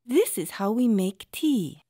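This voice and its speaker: Slow-spoken, gentle cartoon mother. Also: a little high, lively intonation. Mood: calm, instructive. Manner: measured, explanatory, softly formal. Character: gentle, patient mother hosting a tea ceremony. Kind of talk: children's cartoon voice acting.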